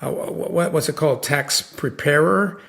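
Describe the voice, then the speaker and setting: A man's voice: fairly high pitch, normal speed, creaky, fairly bright tone. A man hosting a podcast interview, chatting casually and rambling with lots of fillers and restarts. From United States. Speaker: podcast host.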